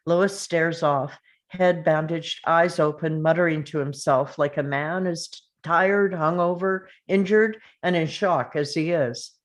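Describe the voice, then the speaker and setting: A woman's voice: low voice, measured pace, few pauses. A woman reading stage directions as the narrator of a screenplay table read.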